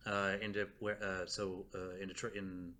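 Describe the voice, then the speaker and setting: A man hosting a podcast, asking questions in a rambling, halting way with many restarts. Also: low voice, flat delivery, bright, forceful tone. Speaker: podcast host. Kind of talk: casual interview conversation.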